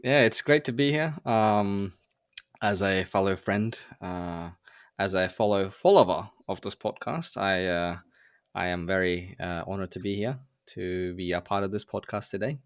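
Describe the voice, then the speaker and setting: A man's voice: sits low, unhurried, clear, resonant tone, no room sound, clean studio sound. A man in his twenties from Turkey, a podcast guest talking casually with frequent laughs and fillers. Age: 20s. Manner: relaxed, laughs often, many fillers. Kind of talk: casual conversation.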